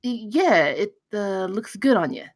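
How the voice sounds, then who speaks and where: A woman's voice: medium pitch, very animated, measured pace, no echo, studio quality. A woman playing a frightened, defensive gamer dad who pleads and protests in exasperation at a comedy table read. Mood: scared, pleading.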